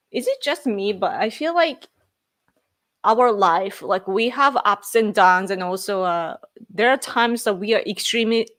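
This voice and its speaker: A woman's voice: medium pitch, fairly bright tone. A woman from Japan with a Japanese accent, casually chatting on a live stream and reading viewer comments as she rambles and laughs. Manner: rambling, reads chat aloud, laughs often. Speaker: livestream host.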